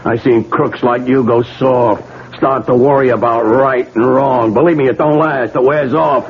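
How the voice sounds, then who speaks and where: A man's voice: medium pitch, steady tone, normal speed, slight background noise, close to an everyday voice. An actor playing a crooked male ex-convict who coaxes and threatens in street slang, in a 1970s radio drama. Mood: persuasive. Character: menacing male ex-convict crook, wheedling then violent. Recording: tinny lo-fi old radio broadcast.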